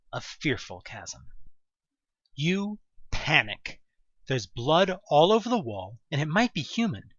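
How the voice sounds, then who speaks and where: Guy reading a horror audio play, dramatic n wry, super lively pitch, bit muffled lo-fi sound. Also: no echo. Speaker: narrator. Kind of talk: second-person horror audio drama narration.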